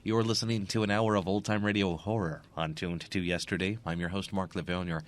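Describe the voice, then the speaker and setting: A man's voice: low voice, steady tone, fairly quick. A man hosting a radio programme, delivering scripted broadcast introductions and announcements. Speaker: radio host.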